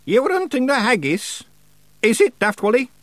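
A man's voice: sits high, big pitch swings, normal speed, no room sound, pitched above the performer's own voice, heavily acted. A man voicing an ancient, stern and suspicious witch, questioning sharply in an audiobook. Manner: commanding, sharp interrogator. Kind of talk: audiobook character voice.

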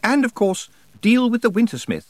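A man performs a proper, bookish witch woman in a high voice with lively rises and falls. She speaks carefully and haltingly, like a storybook schoolmistress consulting a text. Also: no room sound. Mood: matter-of-fact.

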